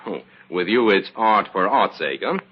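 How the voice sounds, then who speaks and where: An actor playing a confident, cool-headed investigator in an old-time radio crime drama. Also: male voice, fairly low pitch, animated, normal speed, natural voice. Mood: affable, probing. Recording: dull, noisy old radio recording.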